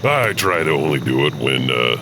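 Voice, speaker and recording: A man's voice: very deep voice, very animated, normal speed, heavy background noise. A man playing a folksy, rambling janitor who hesitantly hosts a spooky anthology of tales. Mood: sheepish, welcoming. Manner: chatty, digressive, hesitant. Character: rambling, folksy janitor storyteller at old hotel. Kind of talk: hosted anthology narration.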